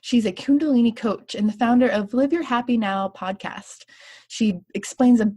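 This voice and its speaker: A woman's voice: on the high side, moderate pace, warm tone, breathy edge. A woman hosting an interview podcast, introducing her guest and asking friendly questions. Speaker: podcast host.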